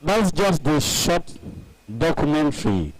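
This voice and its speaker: A man acting as master of ceremonies, announcing in a halting way. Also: on the high side, very animated, no room sound, poor audio.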